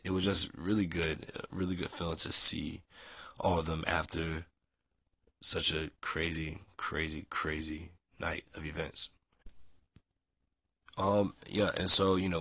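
A young man with a very low, husky voice that sounds nasal and dried out from a cold. He rambles along casually with plenty of ums, bright and forward in tone. The sound is close and dry. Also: no echo. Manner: relaxed, rambling with many ums. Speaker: vlogger. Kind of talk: casual audio log.

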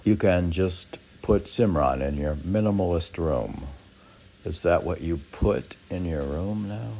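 A man's voice: extremely low pitch, vocal fry, a little mellow, slight background noise. A man reading his own poems aloud with rambling, musing off-the-cuff commentary, often asking and answering his own questions. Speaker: poet reading own work.

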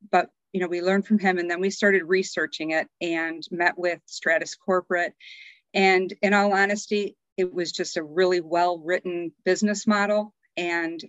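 A middle-aged woman, a business owner, talking through her story as a podcast guest with frequent pauses. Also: on the low side, no echo, dull audio. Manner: conversational, many "um" pauses. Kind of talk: podcast interview.

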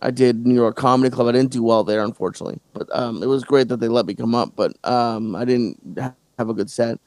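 A male podcast host and stand-up comic chats casually, stumbling through restarts and filler words. His voice sits at an ordinary pitch. The sound is close and dry, with no room around it. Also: fairly even intonation, a little mellow, no room sound. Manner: halting, many restarts and fillers.

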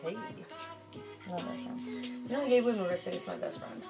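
A woman's voice: mid-range voice, brisk, creaky. Profane rambling young woman over music. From Michigan. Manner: rambling, profane, filler-heavy. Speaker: vlogger. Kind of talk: casual late-night chat. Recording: music playing behind.

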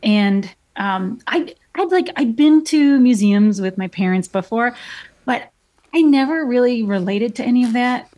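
A woman from Chicago with a slightly high voice tells a story as a guest on a radio interview. She speaks thoughtfully, with frequent ums and restarts. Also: animated, measured pace, warm tone, dull audio. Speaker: guest artist.

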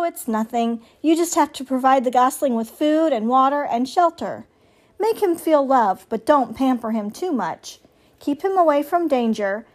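A woman voicing a brusque old female goose in a storybook, squawking and matter-of-fact. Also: high-pitched.

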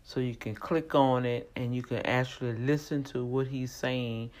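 A tax preparer speaking casually to viewers, halting often with fillers and restarts. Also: medium pitch, fairly even intonation, darkish tone. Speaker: tax preparer recommending a channel. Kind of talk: casual spoken aside to viewers.

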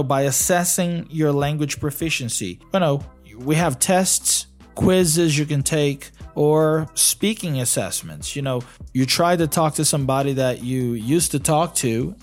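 A man from Brazil with a Brazilian Portuguese accent, hosting a solo podcast and coaching learners in a conversational way, with many "you know"s. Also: a little high. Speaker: podcast host and English teacher. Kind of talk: casual solo podcast talk.

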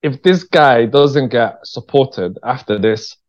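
Dull lo-fi recording, British man, mid-pitched voice, casual interview guest, informal slang, emphatic, frequent check-in tags. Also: lively intonation, slow pace. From Birmingham, England.

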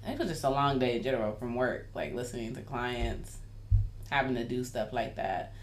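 A woman's voice: rumbling low, lively intonation, normal speed, a little hiss or hum. A woman co-hosting a casual chat, playful and teasing, laughing often. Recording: echoey room.

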